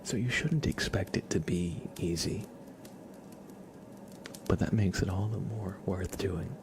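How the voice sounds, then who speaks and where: A man speaks very low and slowly as an ASMR streamer. His soft voice often drops into a whisper, with a gentle, encouraging manner. Clear ambient noise sits behind him. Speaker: ASMR livestream host.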